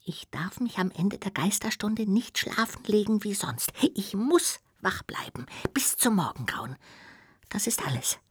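A woman's voice: medium pitch, expressive, unhurried, husky, no echo, studio quality. A woman playing a playful, naive little ghost in a children's radio play, cheeky and childlike. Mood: determined whisper.

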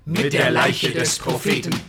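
A man's voice: mid-range voice, expressive, a little slow, sharp tone. A man playing a stern, demanding negotiator in a radio play, speaking in a declamatory style. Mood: commanding. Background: clear music.

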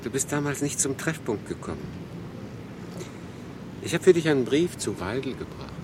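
An actor playing a wry, guarded refugee telling his story in a radio drama, in measured, laconic phrases. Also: medium pitch, big pitch swings, heavy background noise, close to an everyday voice. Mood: probing, guarded. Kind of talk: radio drama narration and dialogue.

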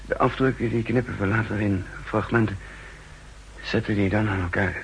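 An actor playing a weary counterspy who gravely recounts his own wounded mission in a 1960s radio drama. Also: lowish voice, fairly even intonation, moderate pace, low-quality recording. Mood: strained, businesslike. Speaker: narrator and lead actor. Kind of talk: 1960s Dutch radio drama acting and narration. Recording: hissy, humming 1960s radio recording.

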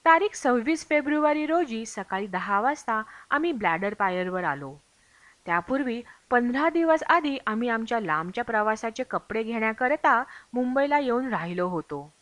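A woman's voice: a little high, expressive, normal speed, a bit bright, no echo. A woman reading a travel memoir aloud as scripted audiobook narration. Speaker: audiobook reader.

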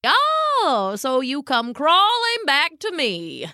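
Woman voicing a pompous tubby raccoon gentleman, pitched up. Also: huge pitch swings, no echo, studio quality, full character voice. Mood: haughty, aggrieved. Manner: pompous, florid, drawn-out exclamations. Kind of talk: storybook character voice.

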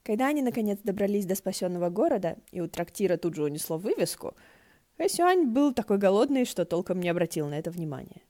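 Женщина выразительно читает художественную прозу как рассказчица аудиокниги. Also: female voice, mid-range voice, very animated, fast, warm tone. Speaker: audiobook narrator. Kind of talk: scripted fiction narration.

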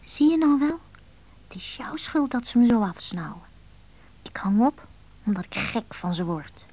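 A high-pitched teenage schoolgirl, confiding and impulsive. She swings between defiance and tenderness in intimate radio-play phone monologues, lonely underneath it all. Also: sing-song, normal speed, warm tone, low-quality recording. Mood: hushed, downcast. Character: lonely, moody seventeen-year-old schoolgirl confiding by phone.

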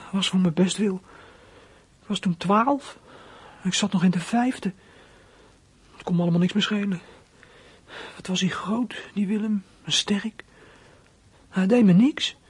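An actor playing a hurt, defiant thirteen-year-old boy thief in a radio play, confiding in a husky, breathy, colloquial way. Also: mid-range voice, a little slow, pauses often, dry recording. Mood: wistful, proud. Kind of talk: radio play acting, confiding first-person narration.

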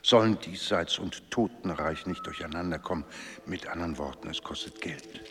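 An actor playing a solemn, mercenary séance master in a German radio drama, making theatrical pronouncements. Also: male voice, low voice, normal speed, big-room sound, very noisy, natural voice.

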